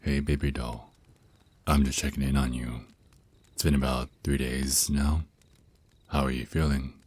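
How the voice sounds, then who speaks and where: A man's voice: a bass voice, level tone, slightly rough, exaggerated voice. A man voicing a teasing, protective vampire naga boyfriend who mentors his lover in an intimate, reassuring ASMR roleplay. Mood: tender, reassuring. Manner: soft teasing endearments, reassuring, unhurried. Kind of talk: ASMR roleplay, intimate one-sided dialogue.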